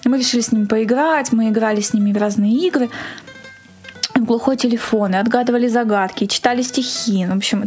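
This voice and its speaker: A woman giving a casual, animated account in an interview as an event organiser, telling it in short repeated phrases. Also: fairly high pitch.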